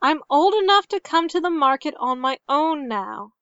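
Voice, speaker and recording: Woman doing a sullen little boy for a story, whiny n grumbly, high voice, dry recording. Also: animated, normal speed, fairly bright tone, no room sound, muffled recording. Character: headstrong, sulky young boy.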